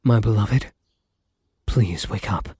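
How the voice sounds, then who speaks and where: A man playing a charming, bloodthirsty vampire lover in an intimate audio roleplay, with a breathy, husky voice that often drops to a whisper and courtly, theatrical endearments. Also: fairly low pitch, slow, darkish tone, no echo, heavily acted. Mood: worried, tender.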